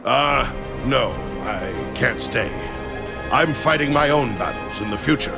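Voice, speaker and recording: An actor playing a stern, impatient middle-aged cyborg soldier from the future in a video game, gruff and gravelly. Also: male voice, mid-range voice, lively intonation, measured pace. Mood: grave, urging. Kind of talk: video game cutscene acting. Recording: game music behind the voice.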